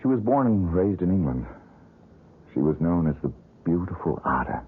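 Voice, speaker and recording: An actor playing a poor, bitter painter haunted by one recurring nightmare, talking in a brooding, self-mocking way in a radio drama. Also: male voice, low voice, very animated, normal speed, slight background noise. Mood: recounting. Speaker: struggling painter. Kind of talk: 1970s radio drama acting. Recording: tinny old radio broadcast, faint music.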